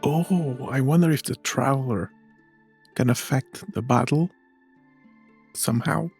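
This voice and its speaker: A woman's voice: very deep voice, a little slow, darkish tone. A woman giving casual game commentary, musing aloud in an offhand way as she plays. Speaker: gaming streamer. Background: game music.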